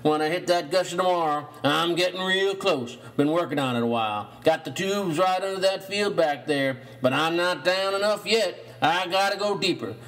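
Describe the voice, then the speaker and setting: A man's voice: high-pitched, moderate pace, few pauses, a bit bright, voice pushed up, poor audio. A man voicing a folksy, boastful oil-drilling uncle with a chummy, breezy manner in an audio story. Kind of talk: audio horror story character voice.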